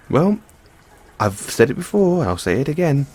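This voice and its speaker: Man playing animated geeky mad scientist boyfriend. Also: on the high side, slightly rough, exaggerated voice. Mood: amused, musing. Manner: musing, halting, chuckling asides, drawn-out reactions. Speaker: audio roleplay performer. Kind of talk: intimate boyfriend audio roleplay, improvised-sounding monologue.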